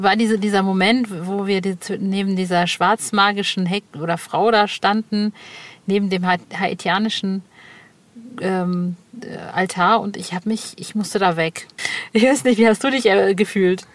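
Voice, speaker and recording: A woman's voice: medium pitch, steady tone, normal speed. A woman hosting a podcast in a casual, slangy, enthusiastic way, rambling and breaking off sentences as she goes. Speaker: podcast host. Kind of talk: casual, chatty podcast talk.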